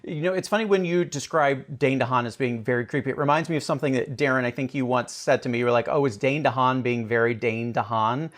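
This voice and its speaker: Talk show host, medium pitch, conversational presenting, question-led. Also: fast talker, few pauses. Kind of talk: conversational show hosting, introducing and asking questions.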